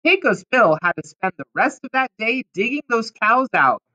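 A woman's voice: fairly high pitch, big pitch swings, moderate pace, bright, forceful tone, dull audio. A woman reading a children's storybook aloud expressively, with dramatic emphasis on key words. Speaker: storybook reader.

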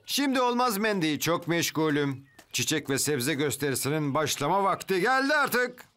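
Fussy, self-important cartoon dad, animated delivery. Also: male voice, a little high, normal speed, over music. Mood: busy. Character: busy, proud village show organiser and dad. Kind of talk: animated children's cartoon dub.

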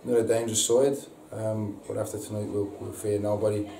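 A man, a football manager giving a halting post-match interview full of repeated words and ums. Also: lowish voice, flat delivery, gravelly, dark tone. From England. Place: indoor press room before a sponsor board. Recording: echoey room, distant mic, audible hiss.

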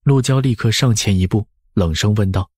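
A man's voice: on the low side, normal speed, a little mellow, slightly rough, dry recording, studio quality. A man narrating a novel as an audiobook, scripted and dramatic.

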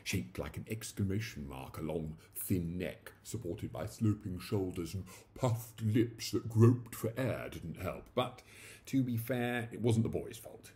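Male narrator, 40s, very low voice with lively swoops, theatrical comic storytelling. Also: a little slow. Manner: theatrical, animated. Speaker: story reader. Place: small indoor room at home.

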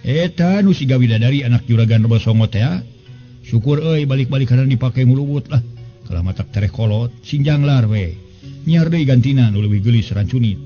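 A man's voice: mid-range voice, animated, a little fast, muffled recording, natural voice. A man voicing a probing, teasing village friend in an audio story, speaking in a colloquial, nudging way. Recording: music bed behind the voice.

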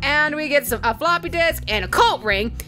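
A woman with a bright, fairly high voice recaps a video game as a let's play host. She tells it casually and theatrically, slipping into character lines and salty slang. Music plays underneath her voice. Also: animated, normal speed. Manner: giggly, theatrical, mimicking characters in quoted dialogue; profane, slangy.

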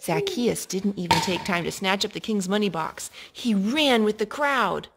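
A woman reading a picture book aloud to a child in an expressive way, pausing to ask questions. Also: medium pitch, noisy background. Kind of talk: storytime read-aloud. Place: living room indoors at a couch.

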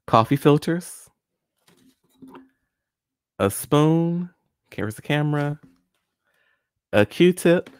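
A man's voice: on the high side, expressive, drawn-out words, pauses often, clear, resonant tone, dry recording. A man in his forties, a guest scientist, friendly and step by step, walking viewers through a hands-on experiment at home. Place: small home room. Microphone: studio condenser mic with pop filter and headphones.

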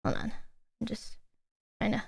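A woman's voice: normal speed, slight background noise. A young woman streaming a game, chatting casually with her viewers, playful and easily exasperated. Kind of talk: casual live-stream gameplay chat.

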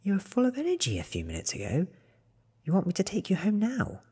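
A man voicing a puzzled, mildly suspicious young man in soft, breathy ASMR audiobook dialogue. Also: high voice, huge pitch swings, fast, long reverb tail, pitched above the performer's own voice, full character voice.

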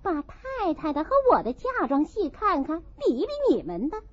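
A woman narrator plays a proud young mistress of a rich house in a classic-novel audiobook. She is scornful and cutting, with a high voice that swings widely. The recording is a little dull. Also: wildly swooping pitch, normal speed, dry recording. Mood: indignant.

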